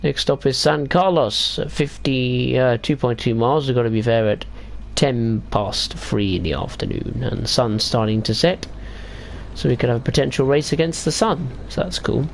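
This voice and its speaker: A man's voice: mid-range voice, normal speed, gravelly, slight background noise. A man casually chatting and rambling while streaming a train simulator game. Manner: chatty, rambling about football tables while driving. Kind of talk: casual gameplay commentary.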